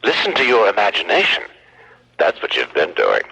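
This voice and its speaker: An actor playing a menacing, taunting night caller in a radio mystery, with a husky, sharp-edged voice. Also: male voice, on the low side, wildly swooping pitch, moderate pace, heavily acted, poor audio. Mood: taunting, coaxing.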